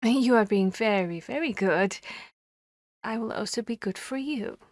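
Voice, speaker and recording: A woman voicing a harpy seeking a human mate, commanding yet courteous and bashful, speaking formally and deliberately with hesitant pauses in an ASMR roleplay. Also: fairly high pitch, no room sound, clean studio sound, close to an everyday voice. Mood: gentle, reassuring.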